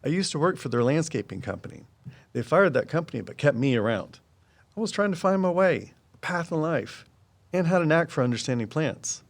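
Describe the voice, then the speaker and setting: An actor playing a guarded, knowledgeable woodsman in an audio drama, explaining things in a measured, folksy way. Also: a little high, lively intonation. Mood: reflective. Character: Walt, guarded, knowledgeable woodsman and conservation volunteer. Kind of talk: full-cast audio drama dialogue.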